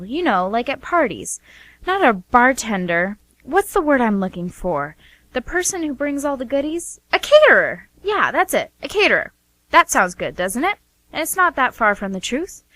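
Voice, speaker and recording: A woman's voice: fairly high pitch, pushed and bright, no room sound. A woman acting a flustered, defensive young woman in a radio play, chatty and hesitant as she justifies herself. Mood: searching, relieved. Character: defensive, flustered young working woman hiding her profession from family.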